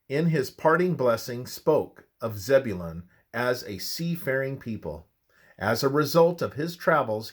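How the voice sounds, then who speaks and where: A man reading a scripted religious study with scripture citations in a measured, expressive, oratorical manner. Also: mid-range voice, breathy edge.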